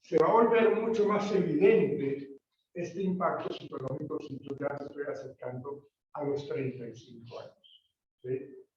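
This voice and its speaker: A man's voice: fairly high pitch, a little slow, darkish tone. A man with a Colombian accent giving a classroom lecture in a conversational way, with many hesitations and tag questions. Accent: Colombian Spanish. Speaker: university lecturer. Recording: roomy, distant, dull recording with faint noise.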